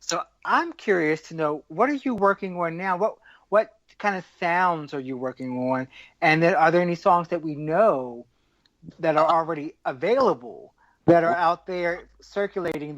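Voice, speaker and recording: A podcast co-host asking a guest questions in a relaxed, friendly way, with playful asides. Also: medium pitch, no room sound, dull audio. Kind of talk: casual podcast interview.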